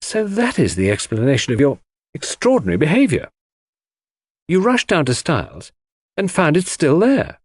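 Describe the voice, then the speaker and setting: A man's voice: on the high side, huge pitch swings, normal speed, husky, no echo, clean studio sound, voice pushed up, exaggerated voice. A man voicing a detective's astonished male friend in an audiobook, asking eager, incredulous questions. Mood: puzzled. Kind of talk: audiobook character dialogue.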